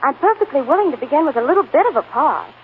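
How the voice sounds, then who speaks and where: Earnest young farm girl, high voice, emotional 1940s radio drama, tinny sound. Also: expressive, fast, a little hiss or hum. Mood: hopeful, shy.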